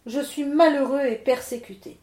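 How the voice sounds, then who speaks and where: A poor peasant miller from a storybook, a timid man, begs in a tearful, pleading voice, played by a woman reader. His tone sits high. The reading carries a roomy echo. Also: moderate pace, a little mellow, close to an everyday voice.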